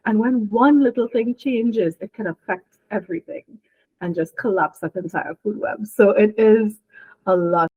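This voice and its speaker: Lively young woman on a phone-like line. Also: medium pitch, moderate pace, husky, no echo. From Trinidad and Tobago. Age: young adult. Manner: lively, laughing, explanatory. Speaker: marine biologist guest. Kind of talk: casual interview chat.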